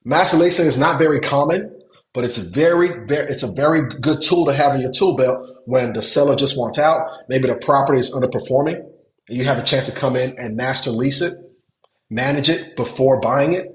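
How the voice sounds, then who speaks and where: A man's voice: mid-range voice, a little fast. Nigerian-born man coaching in an echoey room. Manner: repeats words for emphasis, asks if it makes sense. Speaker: hotel investing coach. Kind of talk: webinar teaching.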